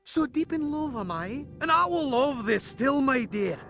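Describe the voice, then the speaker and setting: A man playing the excitable, mournful ghost of a Scottish laird in a cartoon game, with a theatrical, sentimental delivery. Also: extremely high pitch, unhurried, soft background music, full character voice. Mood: romantic. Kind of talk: animated game cutscene acting.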